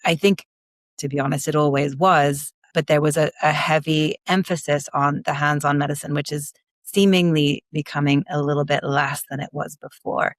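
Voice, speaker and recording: A woman osteopath from Belgium, raised in London, with a blended American, Belgian and British accent, explaining her work in measured terms in a podcast interview. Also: deep voice, fairly even intonation, no room sound. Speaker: manual osteopath guest.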